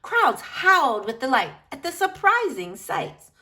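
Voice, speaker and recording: A woman reading a children's picture book aloud expressively, with emphatic, dramatic phrasing and drawn-out key words. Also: sits high, huge pitch swings, bright, forceful tone.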